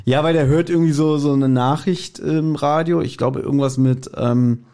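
A man's voice: on the high side, steady tone, normal speed, mellow. A man chatting casually as a podcast co-host, retelling an audio drama and slipping into acted quotes. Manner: acts out quoted lines while retelling. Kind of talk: casual chat recapping an audio drama episode.

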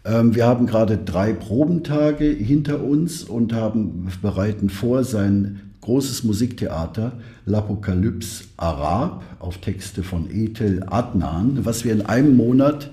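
A man's voice: fairly low pitch, lively intonation, measured pace, few pauses, mellow. A middle-aged man hosting a conversational podcast interview with tentative, hesitant phrasing. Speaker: podcast host, musician.

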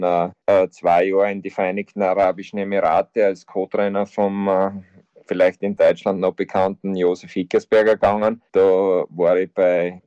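A man in his fifties with an Austrian accent talks as a podcast guest, pausing often with fillers and repeated words. His voice is low and dark and stays quite flat in pitch. The recording is dry and somewhat dull, with a lo-fi sound. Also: clean tone, dry recording. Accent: Austrian German. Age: 50s. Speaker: football coach, podcast guest. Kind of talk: casual interview talk.

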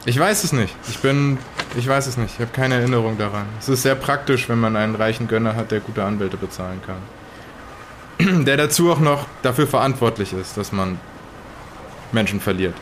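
A man's voice: mid-range voice, moderate pace, close to an everyday voice. Man acting a terse, resigned smuggler, noisy recording. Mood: bitter, evasive. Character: guilt-laden, evasive smuggler boatman with no memory of crimes. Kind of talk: improvised in-character audio drama dialogue.

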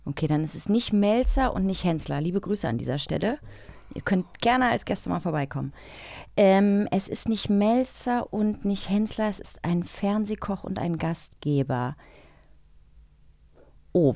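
Woman cohost, chatty and effusive, pitch goes all over, really dry sound. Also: no echo. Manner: animated, playful, effusive. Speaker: podcast co-host. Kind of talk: casual chatty podcast talk.

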